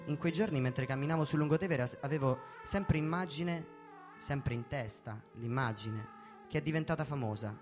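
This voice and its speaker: A man reading a first-person story in a measured, theatrical way, as a dramatic narrative performance. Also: medium pitch. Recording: music behind the voice. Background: background music.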